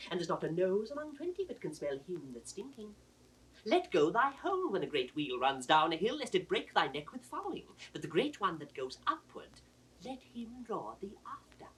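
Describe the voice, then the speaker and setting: An actor playing a witty, loyal court fool, riddling and teasing in a singsong classical stage manner. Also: male voice, high voice, fairly bright tone, exaggerated voice. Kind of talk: classical Shakespearean stage acting. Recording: distant, hissy 1960s audio drama recording.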